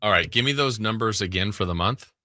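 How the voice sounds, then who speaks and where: An American man hosting a sports radio show in casual banter, animatedly thinking out loud as he goes. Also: lowish voice, a little fast, no room sound, studio quality.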